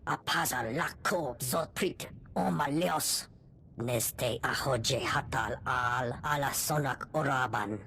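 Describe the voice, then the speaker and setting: An alien female from a video game, extremely low in pitch and slow, speaking an invented tongue. A faint rumble sits behind her. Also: fairly bright tone, full character voice. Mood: grave.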